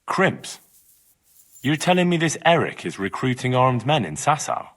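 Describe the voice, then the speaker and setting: A man's voice: medium pitch, sing-song, moderate pace, fairly bright tone. An actor playing an earnest young village lad in a video game, speaking in a plain, questioning way as he investigates. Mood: probing. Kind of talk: dramatic video game dialogue.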